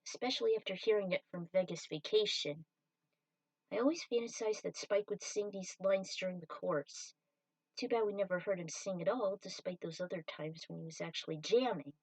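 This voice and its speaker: A woman's voice: medium pitch, darkish tone, no room sound, recorded from a distance, rough recording. A woman giving an author's commentary on her own fan fiction story.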